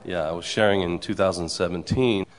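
A man around sixty giving an earnest church testimony, with a gravelly voice and frequent amens. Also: sits low, a little fast. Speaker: congregant sharing a testimony. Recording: reverberant hall.

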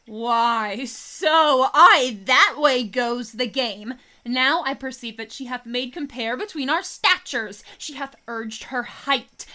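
A woman's voice: high-pitched, sharp tone, heavily acted. A woman acting a short, fiery, jealous young lover, indignant and declamatory, in a Shakespeare radio play.

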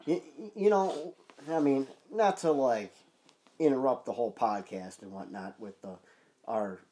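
Male podcast co-host, casual, nasal and stuffed up, mid-pitched, rambling delivery. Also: measured pace, mellow, rough recording. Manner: rambling, loose, many fillers and restarts.

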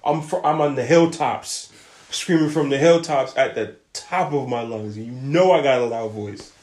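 A man's voice: mid-range voice, moderate pace, a little mellow. A young man co-hosting a podcast, talking in hyped slang and often repeating his words. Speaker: podcast co-host. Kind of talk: casual podcast chat. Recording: roomy echo.

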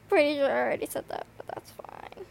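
A woman's voice: sits high, expressive, moderate pace, gravelly, a little mellow, a little hiss or hum. A teenage girl with a slight lisp, tired and rambling through a casual solo podcast, swearing often and full of fillers. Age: teens. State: tired from lack of sleep. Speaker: podcast host. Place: noisy bedroom. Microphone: earbud microphone.

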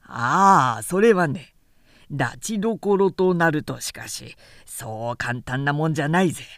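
Woman acting a pompous, long-winded samurai man, pitched low. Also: expressive, measured pace, dry recording, clean studio sound. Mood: pompous, rambling. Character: garrulous self-important middle-aged samurai man, elder brother.

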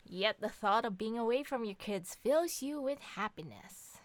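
A woman's voice: fairly high pitch, animated, a little slow, no room sound. A woman playing a sarcastic young mother who needles her partner with dry mockery in an audio drama. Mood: mocking. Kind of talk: two-hander audio drama reading.

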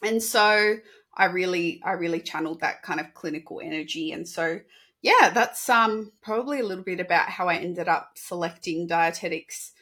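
A woman from Sydney with an Australian accent talks about her career in a chatty, animated way, with quick restarts. Her voice sits on the low side for a woman but sounds bright and forceful. She comes across as casual and talkative. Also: husky. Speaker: dietitian, podcast guest. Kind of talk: casual interview talk.

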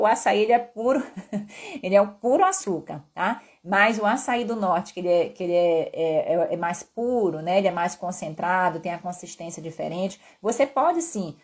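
A woman doctor with a Brazilian accent from Pará, mixed with Northeastern tones, casually answering viewer questions on a live stream. She explains things in a friendly way and keeps checking in with "tá?" and "né?". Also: on the low side. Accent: Brazilian Portuguese from Pará, mixed with Northeastern. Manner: friendly and explanatory, peppered with "tá?" and "né?" tags. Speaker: endocrinologist doctor hosting a live Q&A.

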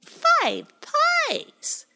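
A woman voicing a sly, scornful male blackbird in a storybook, with a very high, drawn-out tone. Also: wildly swooping pitch, vocal fry, background noise, heavily acted.

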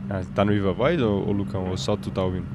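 A man's voice: low voice, fairly even intonation, fast talker, heavy background noise. A man with a Brazilian accent talking casually in character as a tabletop game player. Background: music.

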